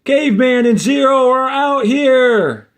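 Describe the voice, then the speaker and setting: A man's voice: extremely high pitch, expressive, slow pace, pitched above the performer's own voice, full character voice. A man voicing eager, slangy teenage camp boys in a storybook, in a casual, punchy, friendly way. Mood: calling out.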